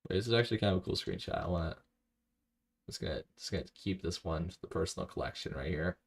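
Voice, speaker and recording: A man streaming a video game, talking to himself in a rambling, low-key way and reading chat aloud. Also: expressive, quick pace, creaky, husky. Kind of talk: casual live-stream commentary while playing.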